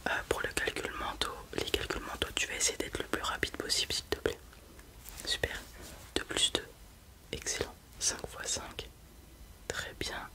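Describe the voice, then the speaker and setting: Slow, soft unvoiced whisperer. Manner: gentle praise after each answer. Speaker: ASMR creator playing an examiner. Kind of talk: whispered ASMR roleplay exam. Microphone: close mic with left and right ear whispering.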